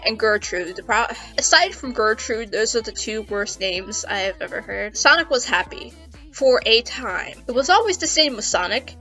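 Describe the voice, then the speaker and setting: High-voiced woman reading fan fiction. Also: pushed and bright, low-quality recording. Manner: amused, playful, giggly. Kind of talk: dramatic reading with casual, sweary asides. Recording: music behind the voice.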